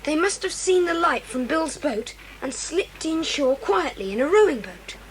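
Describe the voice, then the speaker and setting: Sensible child organiser, very high animated voice, children's radio drama. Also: female voice, normal speed, slight background noise, poor audio. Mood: steady, thoughtful. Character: sensible, reassuring child taking charge of friends. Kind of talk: children's audio drama acting.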